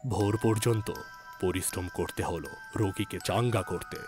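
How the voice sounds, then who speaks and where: A man narrating a scripted story in a deliberate, suspenseful, dramatic style. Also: on the low side, steady tone, music in the background.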